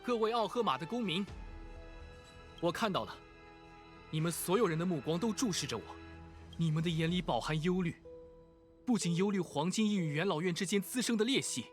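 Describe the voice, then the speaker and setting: A man's voice: a little high, expressive, fairly quick, long pauses, soft background music, close to an everyday voice. A man playing an earnest young hero who addresses an assembly in a video game, solemn and impassioned. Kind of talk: dramatic game oratory in Mandarin.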